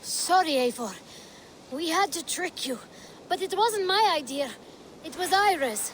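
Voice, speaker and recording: Earnest, shy game child, swooping high voice, apologetic and gentle, faint noise behind. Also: female voice, lively intonation, measured pace, exaggerated voice.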